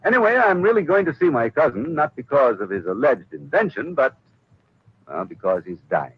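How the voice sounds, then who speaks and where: An actor playing an earnest, polite, worried gentleman with a husky voice in an old-time radio drama. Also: mid-range voice, very animated, moderate pace, dry recording. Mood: concerned. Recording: dull old radio recording.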